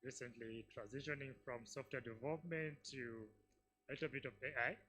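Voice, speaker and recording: A man, a developer attending an event, introducing himself in an understated, hesitant way, recorded quietly with noise around him. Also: mid-range voice, steady tone, a little fast, breathy edge. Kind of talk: brief self-introduction. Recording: quiet voice under noisy hum.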